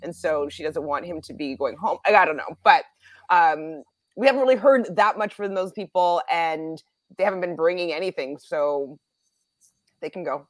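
A woman's voice: fairly low pitch, normal speed, no room sound. A woman chatting casually and giving her informal opinions as a panelist on a recap show. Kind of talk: casual chat.

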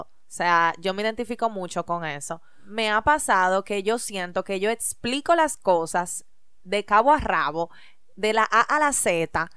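Female co-host with a smooth, clear voice, thoughtful and emphatic, dry close sound. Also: mid-range voice, no echo. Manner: reflective, emphatic, gently encouraging. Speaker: podcast co-host. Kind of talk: casual chat.

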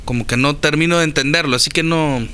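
A man's voice: a little high, fast talker, clean tone. A man from Santa Ana, El Salvador, with a Salvadoran accent, co-hosting a casual podcast chat and telling anecdotes. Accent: Salvadoran Spanish. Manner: storytelling, animated anecdotes. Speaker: podcast co-host.

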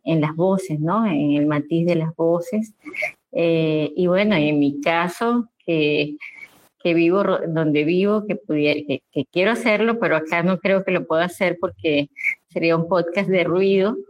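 A woman talks in a slow, soft, halting way, restarting her sentences as she looks for words. Her voice sits low for a woman. The sound is dry and close, with no room around it. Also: dry recording, lo-fi sound. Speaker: social audio room participant. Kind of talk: casual chat.

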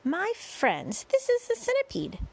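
A woman playing a small boy in a storybook, cheerful, polite and excited, with exclamatory, singsong rhyming delivery. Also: extremely high pitch, unhurried, vocal fry, pitched above the performer's own voice, heavily acted. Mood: proud, showman-like.

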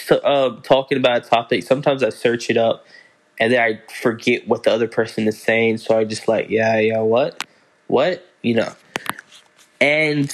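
A young man hosting a casual solo podcast, talking in a halting way with many restarts and stumbles. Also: medium pitch, low-quality recording. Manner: halting, restarts and fillers, slow unhurried delivery. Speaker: podcast host.

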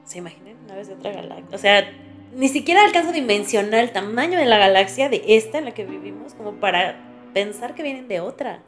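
A woman's voice: mid-range voice, expressive, quick pace, soft background music. A woman with a Mexican accent chatting casually as a podcast co-host, reacting in colloquial phrases and asking questions. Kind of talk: casual chat.

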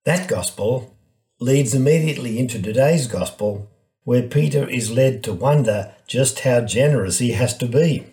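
A man's voice: mid-range voice, normal speed, dark tone, poor audio. A man, a priest, giving a reflective homily on a scripture text.

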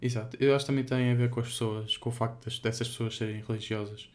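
A man's voice: mid-range voice, fairly even intonation, fast. Hesitant young Portuguese man, casual podcast talk. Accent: European Portuguese. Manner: hesitant, rambling, filler-heavy. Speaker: podcast co-host.

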